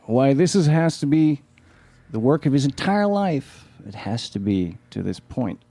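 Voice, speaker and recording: An actor playing a blunt, skeptical, penny-pinching husband in a live radio play, with wry, slangy talk. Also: male voice, medium pitch, expressive, unhurried, warm tone, dry recording. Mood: defensive, blunt.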